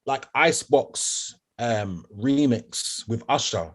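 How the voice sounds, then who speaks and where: A man with a nasal voice co-hosting a music podcast in casual, animated chat. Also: mid-range voice, slow pace. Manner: animated, repeats "do you get me". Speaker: podcast co-host.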